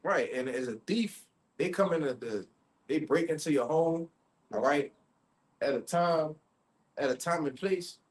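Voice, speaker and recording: Higher-voiced male street preacher. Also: expressive, measured pace, long pauses. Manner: repeatedly checks in with "all right?" and "you see?". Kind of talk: impromptu street preaching, expounding scripture.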